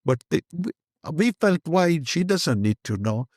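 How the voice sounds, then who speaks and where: A man's voice: fairly high pitch, sing-song, unhurried, darkish tone, dry recording, studio quality. An older man with an Indian accent, a guest speaking candidly and rambling in an interview, slipping Hindi phrases into his English. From Kenya.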